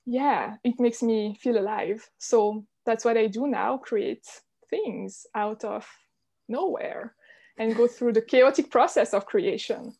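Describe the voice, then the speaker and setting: A woman from Switzerland with a fairly high voice talks as a podcast guest. She is candid and reflective, speaking in a conversational interview style. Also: warm tone.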